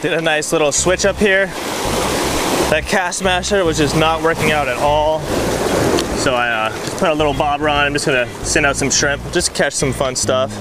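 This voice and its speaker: A man's voice: on the high side, steady tone, moderate pace. Laid-back fisherman on the shore. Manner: laid-back surfer slang. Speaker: fishing vlogger. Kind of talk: casual on-camera narration. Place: rocky shoreline outdoors. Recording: loud wind and surf noise.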